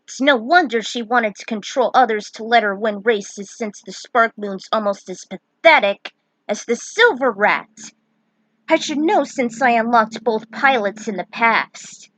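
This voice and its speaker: A woman's voice: a little high, fairly bright tone, no echo, poor audio. A woman giving casual, opinionated commentary as a fan fiction author, with a blunt way of talking. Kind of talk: casual author's commentary with some story reading.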